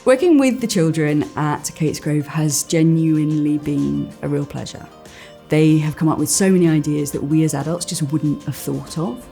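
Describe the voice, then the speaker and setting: A woman's voice: sits low, moderate pace, gravelly, warm tone, soft background music. A woman who makes games and runs workshops, explaining the project in an interview. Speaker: game workshop maker.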